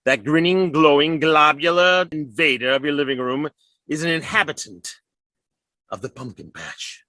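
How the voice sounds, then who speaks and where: A wry, genial radio director. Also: male voice, fairly high pitch, animated, moderate pace, pushed and bright, no echo. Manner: wry, playful. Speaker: radio presenter signing off. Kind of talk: 1930s radio acting.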